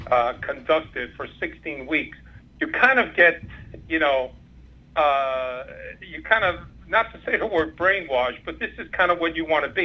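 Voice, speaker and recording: Cuban-born man on a muffled phone line. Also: on the high side. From Cuba. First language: Spanish. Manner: rambling, many fillers and restarts. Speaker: former undercover FBI agent, guest. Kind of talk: interview, recounting career.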